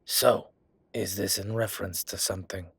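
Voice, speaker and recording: Man acting as a low-voiced, rambling records clerk. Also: fairly even intonation, moderate pace, vocal fry, fairly bright tone, husky, no room sound, exaggerated voice. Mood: defensive, put-upon. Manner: defensive, rambling, colloquial. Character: male records hall clerk, defensive, chatty, lazy bureaucrat. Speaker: voice actor in an audio roleplay. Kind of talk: solo audio roleplay, conversational acting.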